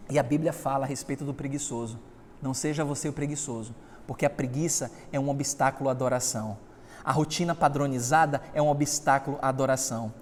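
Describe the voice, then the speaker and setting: High-voiced pastor preaching. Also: male voice, a little hiss or hum. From Salvador, Bahia, Brazil. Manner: emphatic preaching, swelling loud on scripture readings. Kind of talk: sermon. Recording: long hall reverb.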